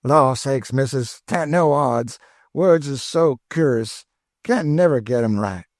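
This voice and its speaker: A man's voice: mid-range voice, measured pace, no echo, studio quality, voice pushed up, natural voice. A man voicing an eager, chatty older woman cook with an in a storybook reading. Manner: exclaiming, folksy, eager.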